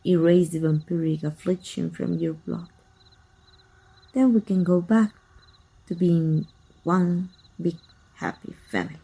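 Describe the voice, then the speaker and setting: A woman from Mexico playing a sly, taunting, manipulative female vampire hunter and estranged sister in an ASMR roleplay, speaking with deliberate, mock-sweet menace. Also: on the low side, fairly even intonation, vocal fry, mellow, slightly rough, exaggerated voice, low-quality recording. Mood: coaxing.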